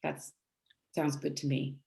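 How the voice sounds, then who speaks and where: A woman's voice: low-pitched, level tone, slow pace, creaky, dark tone. Female co-host, conversational and informal, everyday chatty delivery. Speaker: co-host and co-author. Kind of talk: casual chat.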